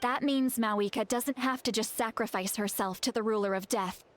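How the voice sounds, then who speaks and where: Earnest, higher-pitched young woman guide, acted. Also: moderate pace, lightly acted. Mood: serious. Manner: explanatory, earnest. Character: composed, knowledgeable young woman guide, serious and analytical. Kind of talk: video game voice acting.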